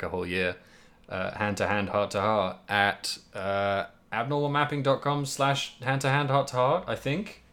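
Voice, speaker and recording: Low-voiced man co-hosting a podcast. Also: a bit bright. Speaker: podcast co-host. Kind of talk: casual video game podcast chat.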